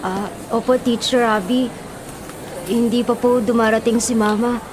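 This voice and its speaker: A woman's voice: on the high side, steady tone, a little slow, noisy background, natural voice. A woman with a Filipino accent voicing a polite, earnest, downhearted young schoolboy in a radio drama. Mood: resigned, polite. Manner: respectful, childlike, earnest. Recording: music under the voice.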